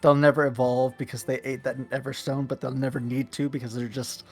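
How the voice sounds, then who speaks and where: Male player, flat even delivery, jokey theorizing, faint music. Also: medium pitch, a little fast, husky. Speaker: tabletop game player. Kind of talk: casual chat.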